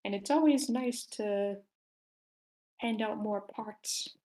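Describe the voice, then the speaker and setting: A woman's voice: mid-range voice, slow, dark tone, poor audio. A woman hosting an online table read, talking casually and politely as she organizes the group. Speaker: host of an online table read, casting parts. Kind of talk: casual group call chat. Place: online voice call.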